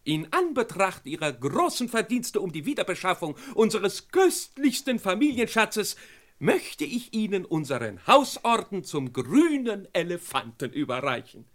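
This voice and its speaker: Pompous maharaja, swooping theatrical delivery. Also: male voice, high-pitched, very animated, measured pace, a bit bright, heavily acted. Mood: gracious, ceremonious. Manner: ceremonious, flowery, pompous. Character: grand, courteous Indian maharaja, imperious and theatrical. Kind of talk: vintage German radio crime play acting.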